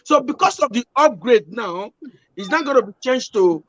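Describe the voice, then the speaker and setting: A man with a Nigerian Pidgin accent speaks on a live debate panel, stopping often to restart. His voice is very high, bright and sharp. The audio is dry and dull. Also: animated, no echo. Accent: Nigerian Pidgin-inflected English. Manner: halting, restarts and corrects himself.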